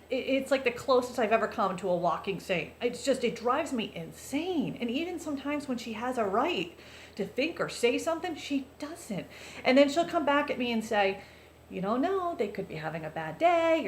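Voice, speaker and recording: A woman vlogger with a loud voice, talking earnestly and chattily to her viewers in a casual confessional way. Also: medium pitch. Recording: roomy echo, faint background noise.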